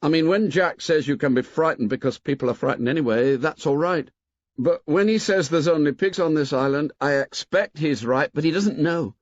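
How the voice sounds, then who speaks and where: A man voicing an excitable schoolboy who rambles eagerly in breathless run-on sentences. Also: a little high, normal speed, no echo, pitched above the performer's own voice, close to an everyday voice. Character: chatty excitable schoolboy, Maurice. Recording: dull lo-fi dry audiobook.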